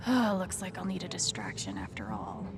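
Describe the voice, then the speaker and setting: An actress voicing a determined, perceptive young woman in a video game, slightly creaky and often dropping to a hushed murmur. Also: fairly low pitch, big pitch swings, measured pace. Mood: intent, plotting. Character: determined, perceptive young woman secretly reading other people's emotions. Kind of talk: video game dialogue and inner monologue. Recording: game audio with music underneath. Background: prominent music.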